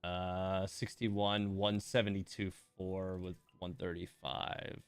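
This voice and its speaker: A man's voice: low-pitched, little pitch movement, slow, clear, resonant tone, no room sound. A man giving casual, rambling live stream commentary on a game, thinking aloud as he plays.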